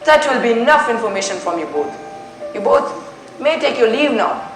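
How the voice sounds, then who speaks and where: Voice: medium pitch, very animated. Young student playing a methodical detective on an echoey stage. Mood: questioning, formal. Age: teenager. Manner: deliberate, questioning, formal, with French courtesies. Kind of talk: school stage play acting. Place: indoor school stage. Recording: echoey school stage recording with music.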